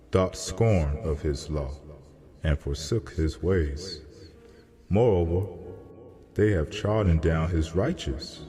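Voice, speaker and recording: A man acts out a solemn messenger from heaven in a scripture drama. The voice is very deep and declaims very slowly, with grave weight. Long reverb trails behind each phrase. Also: a little mellow. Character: solemn male heavenly messenger answering a prophet. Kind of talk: dramatized scripture reading in archaic English.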